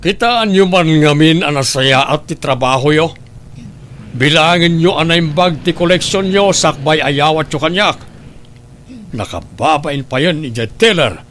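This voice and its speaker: A man's voice: on the high side, measured pace, a bit bright, faint music behind. A man with an Ilocano accent acting a demanding, stressed boss in a radio-style drama. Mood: stern, scolding. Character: demanding, stressed male boss fretting over money. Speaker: voice actor.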